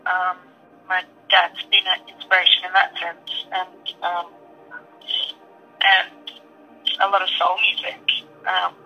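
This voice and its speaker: A woman singer speaks in a husky voice that is very bright and sharp in tone. She holds her pitch level and flat. Her answers are thoughtful and hesitant, with many pauses and ums. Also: lo-fi sound, soft background music. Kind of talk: interview answer.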